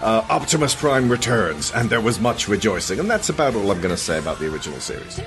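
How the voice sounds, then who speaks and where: A man hosting a podcast, reading a comic scripted recap in a mock-solemn, deadpan way with casual asides. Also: on the low side, quick pace, music in the background. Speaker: podcast host.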